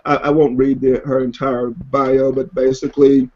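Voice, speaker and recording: Male webinar host, informal and halting, ad-libbed, mid-pitched, on dull online audio. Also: flat delivery, a little mellow. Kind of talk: informal webinar hosting, introducing guests. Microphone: online webinar connection.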